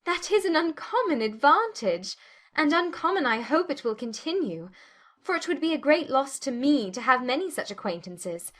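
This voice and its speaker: A woman playing a witty, spirited young Regency gentlewoman in a dramatized audiobook, teasing and articulate in formal speech. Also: high-pitched, very animated, normal speed. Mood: amused, arch. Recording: music bed under the voice.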